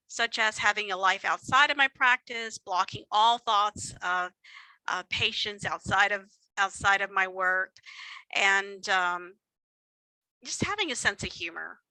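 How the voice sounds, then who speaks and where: A woman with a Texan accent presenting a workshop in an easy, chatty way, with lots of fillers and self-deprecating asides. Her voice is very bright and forward, recorded close and dry with no room sound. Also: mid-range voice, no room sound, poor audio. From Texas. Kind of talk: conversational lecture with slides.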